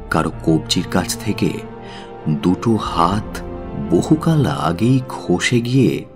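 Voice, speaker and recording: A man narrating a literary audio story in a dramatic, scripted style. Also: deep voice.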